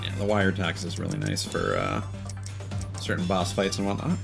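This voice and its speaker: A man giving casual gameplay commentary, thinking aloud in a halting way. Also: sits low, very animated, vocal fry. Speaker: game streamer. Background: video game music.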